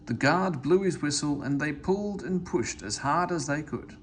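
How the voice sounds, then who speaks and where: Animated man reading a children's story. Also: fairly high pitch, normal speed, slight background noise. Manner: expressive, animated. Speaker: storybook reader. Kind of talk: children's story read-aloud.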